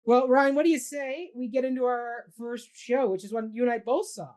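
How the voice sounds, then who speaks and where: A woman hosting a casual theatre talk show, upbeat and quick to affirm, steering the conversation. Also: a little high, fairly even intonation, a little mellow. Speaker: host.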